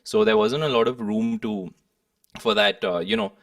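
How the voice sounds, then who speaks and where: A distant, echoey recording of a young man. His slightly low voice is full of fry and moves around a lot in pitch. He talks thoughtfully and hesitantly, like a musician explaining his working process in an interview. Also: big pitch swings, moderate pace, clean studio sound. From Kolkata, India. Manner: hesitant, thoughtful, hedging phrasing. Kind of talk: reflective interview conversation. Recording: echoey room, distant microphone.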